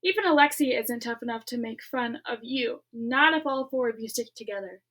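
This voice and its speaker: A firm, caring schoolteacher giving his students a steady, earnest talking-to, read by a woman in her own fairly high voice. The delivery stays plain and measured, lecturing rather than scolding. It suits a storybook classroom scene.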